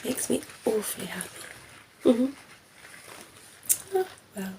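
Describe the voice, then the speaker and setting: Woman playing a slow, creaky, flirty vampire lady. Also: a little high, animated, warm tone, a little hiss or hum. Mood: sly, cosy. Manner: playful, coy, murmuring, often dropping into whisper. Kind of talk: ASMR roleplay. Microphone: close ASMR microphone.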